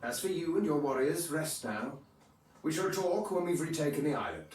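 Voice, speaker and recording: An actor playing an imperious, excitable medieval king in a video game, grandiose and theatrical. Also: a little high, normal speed, husky, heavily acted, rough recording. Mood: grateful, commanding. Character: haughty, excitable Hungarian crusader king.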